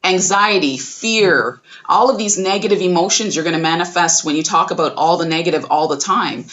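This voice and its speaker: A woman guest speaking slowly and encouragingly about community support. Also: on the low side, a bit bright, muffled recording. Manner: slow, measured, encouraging. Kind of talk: guest talk.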